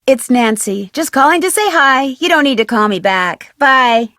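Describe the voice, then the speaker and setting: A woman's voice: sits high, expressive, moderate pace, a bit bright, slight background noise. An actress playing a polite, inquisitive young American woman detective in a video game, casual, friendly and direct. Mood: polite, curious.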